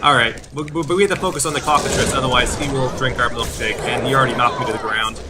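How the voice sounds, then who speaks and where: A man commentates on a video game, explaining things as he plays. His phrasing is a little halting, with words repeated now and then, and his pitch sits in an ordinary male middle range. Music plays clearly behind him. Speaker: gameplay host.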